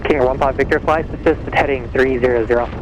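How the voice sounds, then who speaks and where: A man working as an air traffic controller, giving brisk, procedural, clipped instructions over aviation radio. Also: mid-range voice, steady tone. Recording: noisy aviation radio transmission.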